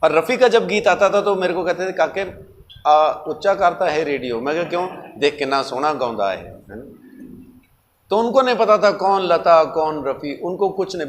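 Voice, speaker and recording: A male preacher with a notably high voice, recorded with long hall reverb. He delivers a sermon emphatically, posing questions and repeating key words as he teaches. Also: a little hiss or hum. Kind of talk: sermon with rhetorical questions and everyday anecdotes.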